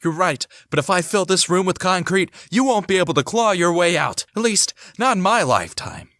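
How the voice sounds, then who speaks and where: A man's voice: high voice, sing-song, a little fast, a bit bright, dry recording, full character voice. A man playing a suspicious, determined young man in an audio drama, urgent and confrontational. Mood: defiant.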